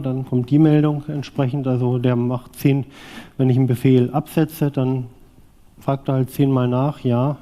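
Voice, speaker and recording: A man's voice: medium pitch, dark tone. A German man in his forties, from southwest Thuringia, giving a loose technical talk on a vintage graphics device with many fillers and restarts, then answering audience questions. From southwest Thuringia, East Germany. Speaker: conference speaker presenting a vintage graphics device.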